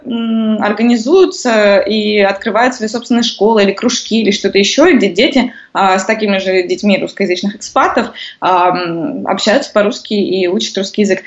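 A woman in her thirties, a guest explaining things in casual conversation, with many hesitations. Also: medium pitch. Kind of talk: conversational interview. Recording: lo-fi muffled audio.

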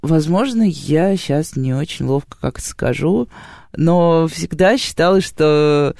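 A woman's voice: low voice, takes it slowly, creaky, warm tone, no room sound, clean studio sound. A woman hosting a radio interview, asking long winding questions while fighting an autumn cough. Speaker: radio host. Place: radio studio.

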